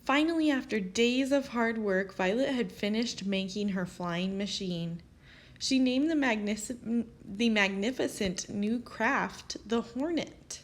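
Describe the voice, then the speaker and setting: A woman reading a children's picture book aloud as an expressive storyteller. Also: on the high side, unhurried. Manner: expressive, animated storytelling with acted character lines. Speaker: storybook reader.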